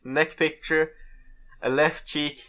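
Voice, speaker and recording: Loud, laughing podcast host. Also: male voice, a little high, level tone, slow, clear, resonant tone. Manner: loud, laughs often, asks questions. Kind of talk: casual podcast chat.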